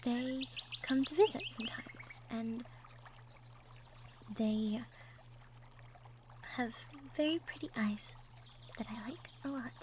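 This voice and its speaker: Woman doing a shy sweet woodland girlfriend for an audio roleplay, soft hesitant high voice, bit of noise and birdsong behind. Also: takes it slowly, long pauses. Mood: flustered, bashful. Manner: hesitant, bashful, soft-spoken, trailing off. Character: shy, sweet cottagecore young woman secretly in love. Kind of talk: roleplay audio drama, intimate one-sided conversation. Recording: faint background noise, birdsong effects. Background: birdsong, outdoor nature sound effects.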